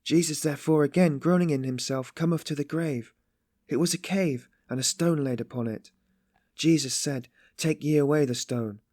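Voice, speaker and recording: A man's voice: fairly high pitch, moderate pace, a little mellow, no echo, clean studio sound, voice pushed up, lightly acted. A man voicing a fervent, trembling young woman. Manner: fervent, ringing reading.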